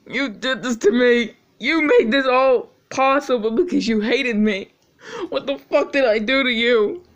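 A man performing a tormented son at the end of his rope, spitting curses at a mother only he can hear. He speaks slowly and heavily, with long weary pauses. The recording is dull and lo-fi. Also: very high voice, fairly bright tone. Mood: anguished. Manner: anguished, cursing, talking to someone absent. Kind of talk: dramatic monologue.

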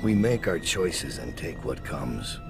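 An actor voicing a grim, guilt-ridden middle-aged master assassin in a video game, brooding, deliberate and menacing, with a gravelly voice. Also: male voice, very deep voice, lively intonation, over music, full character voice. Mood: bleak, weary. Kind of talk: video game voice acting, dramatic monologue.

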